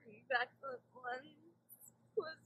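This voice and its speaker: A woman plays a grieving cartoon unicorn mare. She sings mournfully in a very high voice, drawing each word out extremely slowly. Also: husky, dull audio, heavily acted. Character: sorrowful unicorn mare singing a plea. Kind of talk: slow mournful singing.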